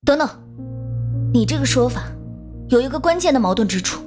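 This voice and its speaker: A woman playing a haughty, skeptical young woman in an animated crime drama, with a pointed, challenging way of talking. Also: high voice, fairly quick, faint music behind.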